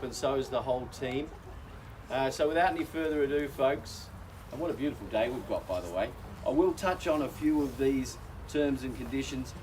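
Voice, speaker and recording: A man's voice: mid-range voice, distant mic, slight background noise, rough recording. An Australian man working as an auctioneer, speaking genially to a crowd outdoors at a house auction, his voice weakened by a recent chest infection. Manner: genial, folksy, addresses crowd as folks. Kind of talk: live outdoor auction address.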